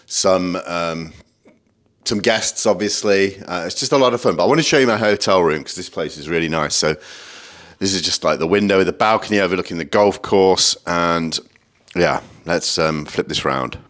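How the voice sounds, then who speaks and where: A man narrating a casual vlog to camera, his voice croaky and hoarse from days of talking, in a laid-back, informal way. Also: sits low, moderate pace, fairly bright tone. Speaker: vlogger and convention guest speaker. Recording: clear background noise.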